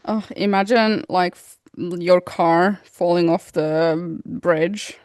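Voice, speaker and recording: A woman's voice: on the low side, slow pace, a little mellow, no echo, clean studio sound. A woman co-hosting a podcast in casual chat, giggly and playful. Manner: giggly, playful, laughs often. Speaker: podcast co-host.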